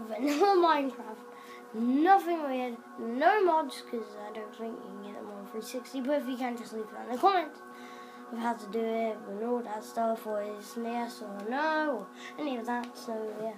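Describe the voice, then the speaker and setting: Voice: mid-range voice, rough recording. A child improvising role-play commentary while playing a video game, hesitant and coaxing, recorded in a living room in front of a TV. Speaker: player making a game video. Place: living room, filming a TV screen.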